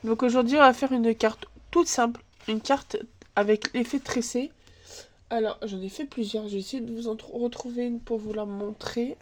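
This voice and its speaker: A woman's voice: on the high side, steady tone, normal speed. Chatty woman with a stuffy cold. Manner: chatty, thinks aloud while working, filler words. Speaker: crafts channel host. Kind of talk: casual scrapbooking tutorial. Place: home craft table. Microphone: camera filming from above.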